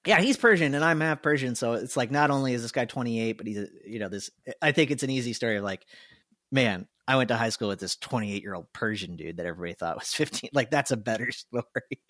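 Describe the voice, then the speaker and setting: A man's voice: mid-range voice, quick pace, a bit bright, no room sound, studio quality. Chuckling man telling a story on a podcast. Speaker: podcast co-host. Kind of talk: casual storytelling.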